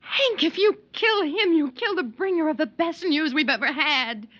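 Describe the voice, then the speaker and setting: An actress playing a gentle, fearful young wife in an old-time radio drama, speaking earnestly and emotionally with a breathy voice. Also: female voice, high-pitched, moderate pace. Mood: pleading. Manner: earnest, emotional, hanging on words. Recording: dull vintage radio recording.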